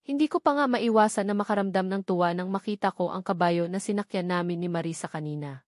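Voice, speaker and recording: A woman's voice: lowish voice, fast talker, darkish tone, dry recording, clean studio sound. A woman reading a first-person novel aloud as a story narrator.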